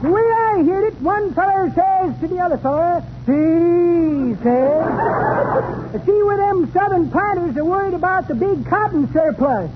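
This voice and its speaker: Through heavy noise on a tinny 1930s radio broadcast comes a rustic old-timer. His voice is extremely high and he takes his time, spinning jokes like a folksy geezer. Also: lively intonation, unhurried. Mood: jovial, wheezy storytelling. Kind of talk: 1930s radio comedy acting.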